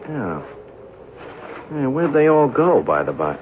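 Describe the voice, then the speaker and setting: A man's voice: medium pitch, big pitch swings, takes it slowly, a little hiss or hum. A man playing a skeptical, brash visitor in a radio drama, who both talks bluntly and narrates his own eerie tale. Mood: doubtful, wry. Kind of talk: radio drama acting with first-person narration. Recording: tinny vintage radio broadcast, loud music behind.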